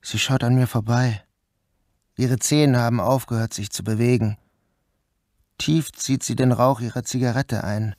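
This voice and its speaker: A man's voice: mid-range voice, steady tone, normal speed, pauses often, a little mellow, no room sound, natural voice. An actor playing a troubled man who narrates his dreamlike ordeal in a radio play. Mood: grave, intense. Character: troubled male first-person narrator recounting a dreamlike ordeal. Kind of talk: first-person audio drama narration.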